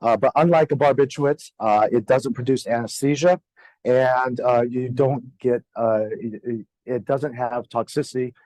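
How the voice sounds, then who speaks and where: Older guy w sore scratchy throat lecturing, mellow dark voice, dry sound, lots of uhs. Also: medium pitch, steady tone, no room sound. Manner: halting, many fillers and throat clearing. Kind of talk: conference lecture. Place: lecture hall.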